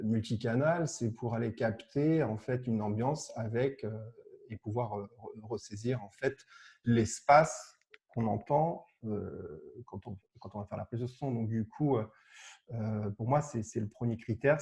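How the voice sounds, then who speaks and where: A man sound engineer on a panel over a video call, explaining haltingly with stammers and restarts. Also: medium pitch, vocal fry, warm tone. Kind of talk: technical panel discussion.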